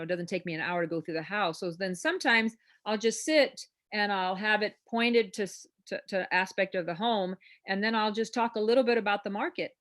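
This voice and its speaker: A woman's voice: medium pitch, fairly bright tone. A woman hosting a real estate class on a video call, coaching in a chatty, encouraging way that rambles with fillers. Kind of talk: informal coaching talk.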